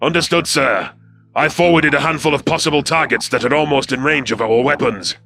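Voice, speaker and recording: Man acting alien reptile subordinate, sharp bright tone, raised pitch, formal, deferential, audio story, faint music. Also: fairly quick, vocal fry, heavily acted. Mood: dutiful. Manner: formal, deferential, stiffly literal. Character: dutiful male alien reptilian soldier, subordinate officer.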